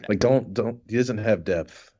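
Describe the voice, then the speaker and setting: A man's voice: fairly low pitch, measured pace, warm tone, highs cut off. A man co-hosting a podcast with casual comic rants, rambling, sardonic and sweary, stammering and repeating words. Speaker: podcast co-host. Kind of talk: casual comic ranting chat.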